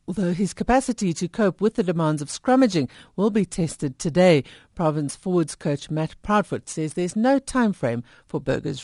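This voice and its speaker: A woman with a South African accent presenting a radio sports news bulletin in a brisk, crisp manner. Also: medium pitch, a little mellow, dry recording. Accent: South African English. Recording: dry studio mic.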